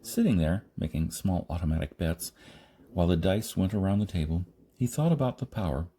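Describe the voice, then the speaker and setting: A man reading a science fiction novel aloud as scripted narration, with a halting delivery that sometimes stumbles. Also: low voice, expressive, dark tone, husky. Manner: halting, stumbles and rereads phrases. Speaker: audiobook reader.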